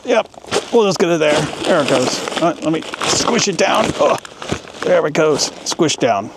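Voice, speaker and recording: A man's voice: fairly high pitch, lively intonation, a little slow, creaky. A man chatting casually as a travel vlogger in a joking, playful way, filming outdoors and in shops on a handheld camera. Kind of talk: casual vlog narration. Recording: outdoor street noise.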